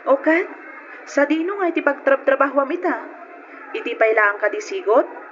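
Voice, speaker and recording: A woman's voice: sits high, lively intonation, normal speed, a bit bright. An actress playing a bubbly, teasing young Filipino woman, a gossipy friend in a radio drama. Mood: chatty, curious. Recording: muffled noisy old radio recording.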